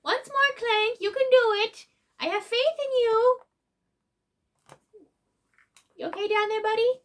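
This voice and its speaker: A woman's voice: very high-pitched, normal speed. A woman playing a chatty, silly robot who hosts a playful children's story time with sing-song exclamations and asides. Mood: eager, encouraging. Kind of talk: children's read-along with asides.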